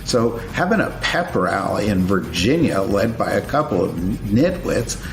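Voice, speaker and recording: A man's voice: fairly low pitch, very animated, unhurried. A man speaking bluntly and emphatically as a political commentator, with a scornful edge. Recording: echoey room with background noise.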